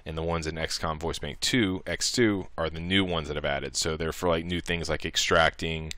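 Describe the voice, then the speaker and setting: A man narrating a software tutorial casually, thinking aloud informally as he works. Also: rumbling low, fairly even intonation, moderate pace, dry recording.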